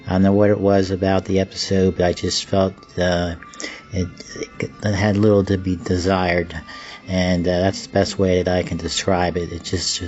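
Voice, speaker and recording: A man giving an informal prepared review as podcast listener feedback, plain, deliberate and slightly awkward, on an amateur home recording. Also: low voice, darkish tone, dull audio.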